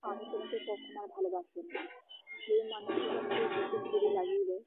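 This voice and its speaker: A young woman arguing on a phone call, indignant and accusing, her voice often jumping high. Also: very animated, fast, soft background music. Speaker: caller in a phone argument. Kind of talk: heated phone argument. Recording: tinny phone call recording.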